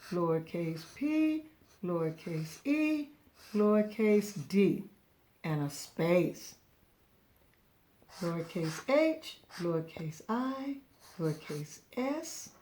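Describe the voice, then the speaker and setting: Slow-paced woman teaching young children. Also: mid-range voice, a little mellow. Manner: encouraging, patient, step-by-step. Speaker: preschool teacher. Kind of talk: children's lesson, asking and answering questions.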